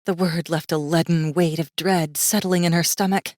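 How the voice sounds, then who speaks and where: A woman's voice: fairly low pitch, fairly even intonation, normal speed, darkish tone, dry recording, studio quality. A woman narrating a fantasy audiobook in a dramatic, scripted style with tense, suspenseful storytelling.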